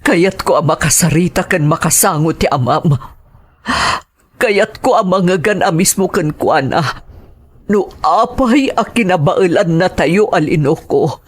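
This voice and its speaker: An anguished mother , played by a woman pours out her hurt in an audio drama. Her voice is husky and tearful, and she pleads slowly, full of emotion. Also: fairly low pitch, expressive. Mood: hurt, pleading. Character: anguished mother of a grown son, hurt by betrayal.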